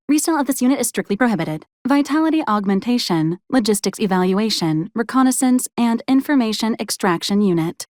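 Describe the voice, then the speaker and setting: A woman voicing a polite, officious robot support unit in a video game, speaking in formal, clipped, deadpan announcements. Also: mid-range voice, no room sound, studio quality, close to an everyday voice. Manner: formal, clipped, programmed announcements in complete phrases.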